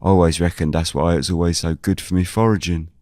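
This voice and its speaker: A man playing a genial, cautious older countryman in an audio drama, with a folksy, unhurried, chatty manner. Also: deep voice, steady tone, dark tone, dry recording, clean studio sound, lightly acted. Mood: easygoing. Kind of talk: audio drama character dialogue.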